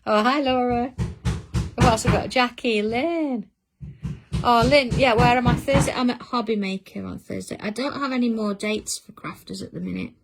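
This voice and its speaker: A woman's voice: fairly high pitch, normal speed, gravelly, over music. A middle-aged woman, hosting a craft livestream in casual chat and answering viewer comments as she works. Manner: chatty, reads out viewer comments while working.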